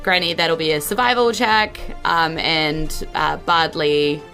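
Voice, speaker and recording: A woman's voice: sits low, fairly even intonation, slow, creaky, bright, forceful tone, soft background music. A woman with a New Zealand accent, narrating a tabletop game as game master in an informal, chatty way.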